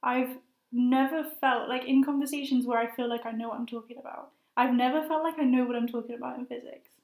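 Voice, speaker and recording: A young woman in her twenties with a high, fairly flat voice and a gravelly edge. She talks in a hesitant, thoughtful way and stops often to search for words. The recording has a roomy echo. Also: quick pace. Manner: hesitant, thoughtful, many fillers. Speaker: guest, master's student. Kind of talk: casual conversational interview.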